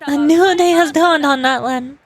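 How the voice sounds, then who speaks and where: A woman's voice: high voice, moderate pace, close to an everyday voice. A woman voicing a bold, confident female warrior leader from a video game, speaking in an assured, rallying and playfully teasing manner. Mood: rallying, proud. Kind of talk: dramatic voice-over of video game dialogue. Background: music.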